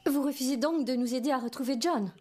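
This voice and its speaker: Woman playing a mellow, earnest mother. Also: high-pitched, quick pace, close to an everyday voice. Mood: pleading. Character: earnest, worried mother, polite major's wife. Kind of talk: cartoon dub acting. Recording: clearly noisy soundtrack.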